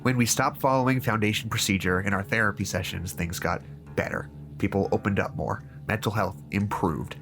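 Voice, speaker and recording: Man as halting, disillusioned therapist over music. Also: lowish voice, close to an everyday voice. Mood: hesitant, resigned. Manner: halting, hesitant, stammering repeats. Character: troubled, disillusioned male therapist reasoning aloud. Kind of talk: audio drama monologue.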